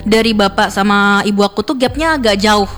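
A woman's voice: mid-range voice, brisk, fairly bright tone, faint music behind. A young woman hosting a radio show in a studio, talking in a chatty, giggly way full of fillers. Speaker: radio host. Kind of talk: casual radio chat.

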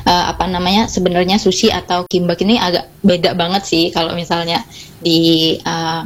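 Relaxed woman explaining her food business. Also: lowish voice, normal speed. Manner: relaxed, explains plainly with fillers and tag words. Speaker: small food business owner, guest. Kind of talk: casual radio interview.

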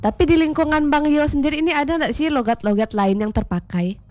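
A woman's voice: high-pitched, fairly quick, darkish tone. A woman hosting a casual radio chat, talking chattily and speaking straight to her listeners. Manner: chatty, addresses listeners directly. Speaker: radio host.

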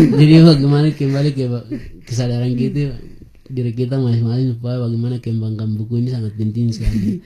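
A young man in his twenties speaks with a very dark, mellow tone. He is a guest in an interview, pausing often on filler sounds and laughing between points. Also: mid-range voice, flat delivery, poor audio. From Papua highlands, Indonesia. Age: 20s. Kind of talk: conversational interview.

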